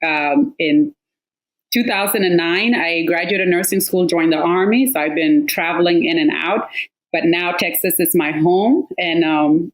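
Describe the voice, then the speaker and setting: A woman's voice: lowish voice, low-quality recording. A woman in her thirties from South Sudan, a guest author telling her story thoughtfully, with some hesitation and emotion. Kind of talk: conversational interview.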